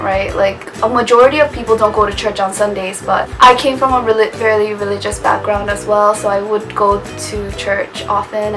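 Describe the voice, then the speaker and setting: A young woman chatting casually to camera in a vlog, laughing often and trailing off with little "I guess" asides. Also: on the high side. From United States. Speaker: vlogger. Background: music.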